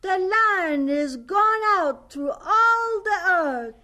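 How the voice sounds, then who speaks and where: A polite, earnest young boy in a radio drama. The voice is very high and bright, and it moves very slowly, with careful, solemn, halting phrasing. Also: expressive, exaggerated voice. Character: shy, earnest, polite ten-year-old boy.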